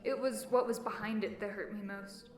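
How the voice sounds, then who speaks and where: A woman's voice: medium pitch, fairly even intonation, normal speed, reverberant, natural voice. A woman playing a wounded, defiant character in an intense dramatic monologue, brooding and emphatic. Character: wounded, defiant woman nursing an old insult. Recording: music bed behind voice.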